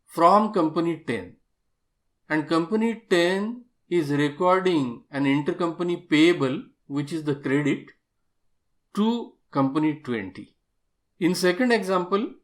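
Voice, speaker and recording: A man narrating an explanatory software tutorial as an instructor, methodical and step-by-step, stressing key terms. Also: on the high side.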